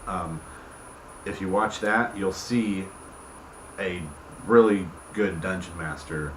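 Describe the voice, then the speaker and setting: A man giving a casual, unscripted explanation to viewers as a video host, halting and rambling, often breaking off mid-thought. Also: deep voice. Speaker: tabletop game campaign creator hosting a video channel. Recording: echoey room with clear background noise.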